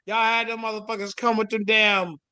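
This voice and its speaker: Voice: mid-range voice, flat delivery, a little fast, pushed and bright, clear, resonant tone. A panel host speaking casually and emphatically, with plenty of profanity and street slang. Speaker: host moderating a panel call.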